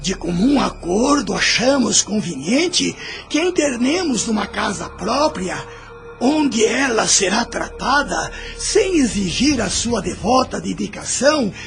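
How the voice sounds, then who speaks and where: A man playing a gentle, solemn old grandfather in an audio drama, speaking formally and paternally with a husky, creaky voice. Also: high-pitched, very animated, unhurried, faint music behind, full character voice. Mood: tender, grave.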